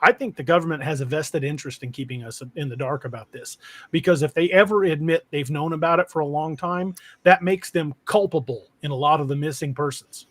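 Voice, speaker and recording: Man, fairly high voice, podcast host, casual livestream talk, comment reading. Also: fairly quick, a bit bright.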